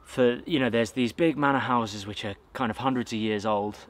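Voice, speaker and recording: An English man in his thirties talking casually to camera outdoors in a garden, relaxed and rambling with fillers and self-corrections. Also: medium pitch, moderate pace. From England. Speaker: outdoors vlogger. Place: outdoors in a garden by a wooden shed.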